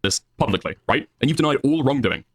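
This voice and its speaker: A man's voice: medium pitch, lively intonation, fast talker, a bit bright. A man in a confrontational live debate, insistent and pressing, often cutting in.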